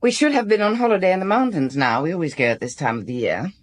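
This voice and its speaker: A woman's voice: lowish voice, expressive, fast talker. An actress playing a composed, well-to-do wife in a radio drama, genteel and guarded in her manner. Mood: composed, confiding.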